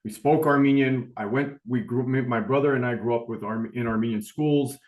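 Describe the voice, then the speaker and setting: A man's voice: medium pitch, steady tone, moderate pace, darkish tone, roomy sound. A middle-aged man speaking as a panelist on a webinar, humble and rambling, with many fillers. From United States. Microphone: video call.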